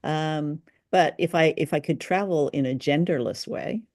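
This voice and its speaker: A woman's voice: low voice, moderate pace, darkish tone, dry recording. Woman, casual co-host discussion, thoughtful hesitant phrasing, good-humoured, very dry sound. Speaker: co-host of an old-time radio discussion show.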